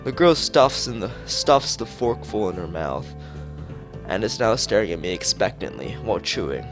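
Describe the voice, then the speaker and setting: A man's voice: lowish voice, sing-song, moderate pace, over music. A man reading visual novel text aloud as a streamer, sometimes stumbling and rereading phrases.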